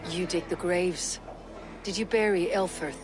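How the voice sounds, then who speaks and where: A woman's voice: on the low side, fairly even intonation, unhurried, lightly acted. An actress playing a tough, blunt warrior woman who questions people in a direct, firm way for a video game. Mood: probing. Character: tough, blunt female warrior investigating a death. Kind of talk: video game character acting.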